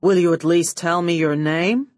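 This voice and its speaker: A woman's voice: lowish voice, fairly even intonation, moderate pace, darkish tone, no echo, natural voice. Lady doing a suave nervous movie star man, polite, hesitant, kinda bluffing, audiobook, dry. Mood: polite, apologetic.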